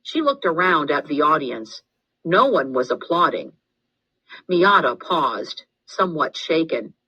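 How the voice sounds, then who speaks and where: Female storybook narrator: bright, forceful, slightly husky, expressive and deliberate read-aloud delivery. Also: deep voice, lo-fi sound. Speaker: storybook reader.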